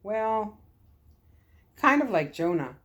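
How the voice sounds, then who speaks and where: A woman voicing a calm, kindly military lieutenant in a story, gentle and earnest. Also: mid-range voice, takes it slowly, voice pushed up. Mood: gentle, reassuring.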